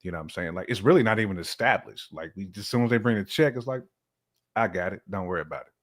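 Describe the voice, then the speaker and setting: A man with a fairly low voice talks as a guest on a relationship-style chat show. His delivery is informal and colloquial, giving opinions in everyday slang. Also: lively intonation, fast talker. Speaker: podcast guest. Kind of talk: casual conversational opinion-sharing.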